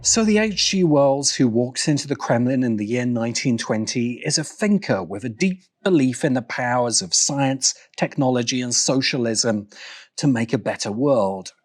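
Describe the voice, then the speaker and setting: A man narrating a scripted video essay in a deliberate way, with dramatic pauses. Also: mid-range voice, lively intonation. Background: background music.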